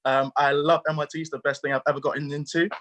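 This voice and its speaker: A man's voice: fairly high pitch, flat delivery, quick pace, a bit bright. A young man from South Africa whose first language is not English, a cheerful drama student.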